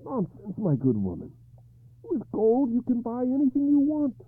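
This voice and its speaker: A man's voice: extremely high pitch, wildly swooping pitch, moderate pace, husky, dry recording, pitched above the performer's own voice, full character voice. A man voicing an anxious, proud medieval king who stammers and pleads. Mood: bargaining. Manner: pleading, rising to frantic. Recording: dry, phone-like narrow sound.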